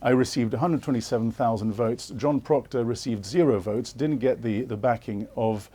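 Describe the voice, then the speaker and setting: Male interviewee, warm mellow timbre, earnest and insistent, hesitant delivery. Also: mid-range voice, normal speed. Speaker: interviewee, former political candidate. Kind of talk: live political TV interview.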